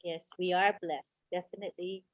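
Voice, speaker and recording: A woman's voice: lowish voice, fairly even intonation, normal speed, dry recording, dull audio. Upbeat woman host on a call, dry close mic. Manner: friendly, upbeat, introduces speakers. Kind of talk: hosting a group call.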